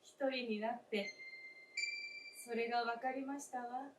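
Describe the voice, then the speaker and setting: An actress playing the gentle, wistful spirit of a dead wife in a staged radio drama, with polite, old-fashioned feminine speech and deliberate phrasing. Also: female voice, on the high side, fairly even intonation, lightly acted. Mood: wistful, confessing. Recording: roomy stage, distant mic, faint noise.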